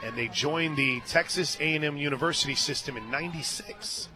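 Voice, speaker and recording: An American man calling a softball game on radio, chatting in a folksy, conversational way and punching up each pitch. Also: fairly quick, music in the background. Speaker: play-by-play announcer. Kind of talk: live softball radio commentary with casual banter. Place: stadium radio booth. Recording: outdoor stadium crowd noise behind broadcast.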